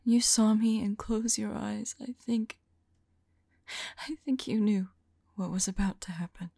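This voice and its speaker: A woman playing a remorseful, lovelorn female vampire in an ASMR roleplay, whispering softly and tenderly in a halting, intimate monologue. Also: mid-range voice, no room sound, studio quality. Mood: anguished. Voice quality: soft, breathy whisper. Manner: slow, halting, tender delivery.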